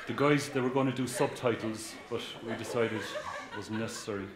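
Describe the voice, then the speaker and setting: A man's voice: fairly low pitch, fairly even intonation, normal speed, very noisy. Joking man with a Cork accent. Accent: Cork Irish. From Ireland. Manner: joking, addresses the audience directly. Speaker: guest speaker, research engineer. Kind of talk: public talk to students.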